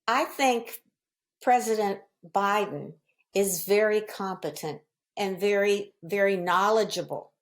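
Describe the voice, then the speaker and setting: A woman's voice: medium pitch, slow pace. A woman in her seventies from Virginia, a voter speaking firmly and plainly. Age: 70s. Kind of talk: interview clip.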